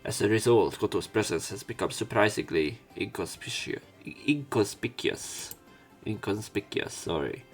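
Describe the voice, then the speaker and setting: A man doing let's play narration of a visual novel. His voice sits fairly low and he is a bit sick, reading in a halting, casual way over soft background music. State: sick with a cough.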